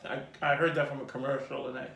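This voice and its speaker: A man in his forties, an artist telling his life story in a relaxed, rambling way during an interview. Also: mid-range voice, fast, vocal fry. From Jamaica. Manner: rambling lists, relaxed and reflective. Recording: echoey room.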